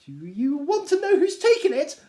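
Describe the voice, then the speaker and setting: Excitable middle-aged wife, storybook character, high-pitched, swooping intonation, plaintive, gushing, nagging. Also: male voice, normal speed, exaggerated voice. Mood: eager, insistent. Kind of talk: theatrical reading of a classic novel. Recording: roomy, echoing indoor sound.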